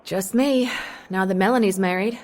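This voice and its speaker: A woman's voice: medium pitch, normal speed, a little mellow, natural voice. An actress playing a troubled middle-aged mother who uses drugs, hesitant and confiding, in a radio drama. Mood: guarded hope. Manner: hesitant, confessional. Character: troubled drug-using mother, lonely, wavering, confiding. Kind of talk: radio drama dialogue and first-person narration.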